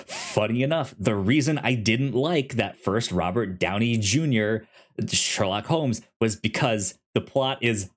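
A man co-hosting a casual chat and giving recommendations in an easygoing, halting way, as if thinking aloud. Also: on the low side, lively intonation. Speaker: podcast co-host.

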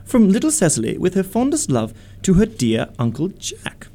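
A flippant, idle young English gentleman in a Victorian radio comedy, acted by a man. He talks in arch, ornate phrases, his slightly high, mellow voice swinging up and down. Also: huge pitch swings, normal speed, full character voice. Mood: triumphant, needling. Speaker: amateur radio theatre actor. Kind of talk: radio play acting of a Victorian comedy of manners.

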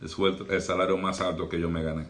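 A man's voice: deep voice, little pitch movement, fast, fairly bright tone. A young man from the Republic, a doctor and pastor telling his life story earnestly in a podcast interview. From Dominican Republic. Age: young adult. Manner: earnest, reflective, uses fillers like eh.

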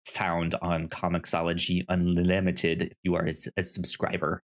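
A man's voice: deep voice, completely flat delivery, moderate pace. A man co-hosting a podcast in a casual, chatty style, often stammering on the first syllables of words. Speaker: podcast co-host. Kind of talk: casual chat, pitching comics and reading blurbs aloud.

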